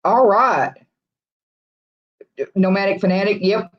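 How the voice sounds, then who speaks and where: Livestream host, casual comment-reading chat, rambling and friendly, frequent chuckles, muffled low-fidelity sound. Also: fairly even intonation, takes it slowly. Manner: rambling, friendly, chuckles often, many restarts. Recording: dull lo-fi livestream audio.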